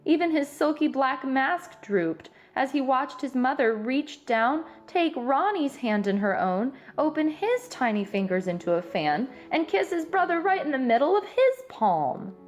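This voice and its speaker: A woman narrating a children's storybook aloud in an expressive read-aloud style. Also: high-pitched, a little slow, few pauses. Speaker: storybook reader.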